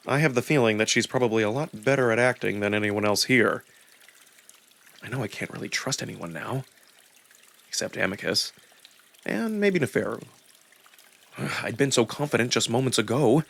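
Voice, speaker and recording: A man reading a visual novel aloud as narrator, in an expressive, deliberate first-person storytelling style. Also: mid-range voice, vocal fry.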